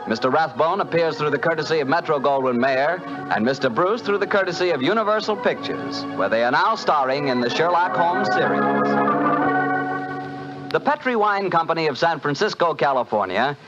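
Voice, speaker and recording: Man, 1940s radio announcer, persuasive ad read, lively pitch, muffled broadcast sound.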